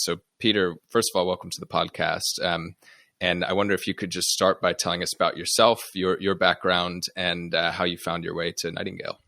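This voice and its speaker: A man's voice: low-pitched, gravelly, sharp tone, no echo, clean studio sound. A man hosting a podcast, introducing and questioning a guest in a conversational interview style.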